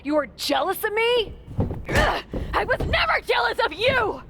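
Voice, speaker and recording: A woman's voice: very high voice, big pitch swings, unhurried, pushed and bright, a little hiss or hum, exaggerated voice. An actress playing a headstrong young female pro wrestler in a video game cutscene, wry and sincere. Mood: angry, shouting.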